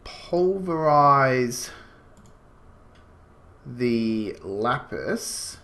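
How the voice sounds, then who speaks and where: A man's voice: mid-range voice, big pitch swings, very slow, bright, forceful tone. A man with a slight cold, sniffling as he gives casual, rambling gameplay commentary full of fillers. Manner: rambling, explains as he goes, many fillers. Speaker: gaming channel host.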